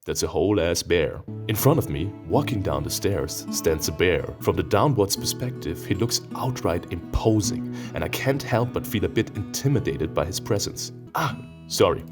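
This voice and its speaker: A man reading a visual novel aloud, expressive and playful, breaking into casual, profane asides. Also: sits low, moderate pace. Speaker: let's player. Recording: music behind the voice.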